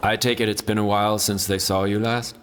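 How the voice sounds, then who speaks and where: An actor playing a kind, principled friar in a fantasy audio drama, a man speaking gently and deliberately with formal concern. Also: lowish voice, flat delivery, a little hiss or hum, natural voice.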